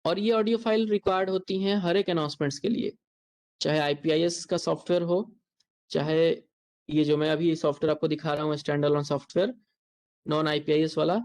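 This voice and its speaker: Step-by-step Indian software instructor. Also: mid-range voice, a little fast. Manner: explanatory, step-by-step, repeats points. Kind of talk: software tutorial lecture.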